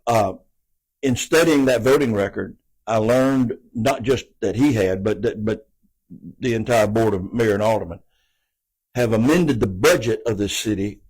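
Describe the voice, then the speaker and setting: Earnest older man, low voice. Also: measured pace, darkish tone, slightly rough, rough recording. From American South. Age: 80s. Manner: earnest, folksy, repeats words often. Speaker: city council candidate. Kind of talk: interview answers.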